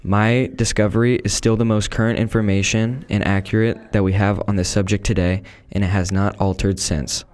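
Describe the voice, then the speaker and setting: A man's voice: fairly low pitch, flat delivery, moderate pace, few pauses, a little mellow, clear, resonant tone, lightly acted. A man playing a devout male scientist of the 1800s, reading a prepared script aloud in a school podcast role-play. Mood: earnest.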